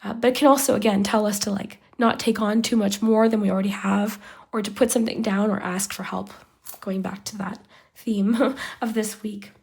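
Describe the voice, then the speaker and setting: A woman giving a chatty tarot reading straight to her viewers while losing her voice, sounding hoarse and sometimes sinking to a whisper. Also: medium pitch, steady tone, normal speed, a little mellow. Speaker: tarot reader. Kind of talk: pick-a-card tarot reading to camera.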